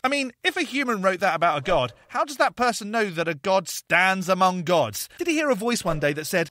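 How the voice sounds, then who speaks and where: High-pitched, animated mocking commentator. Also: male voice, no room sound, studio quality. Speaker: commentary video host. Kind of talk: sarcastic reaction commentary voice-over.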